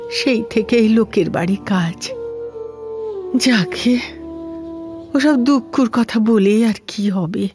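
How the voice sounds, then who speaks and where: A woman playing a talkative, grumbling elderly widowed housemaid with a Kolkata Bengali accent in an audio drama, speaking in a colloquial, plaintive, scolding way. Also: fairly high pitch. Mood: wistful, plaintive.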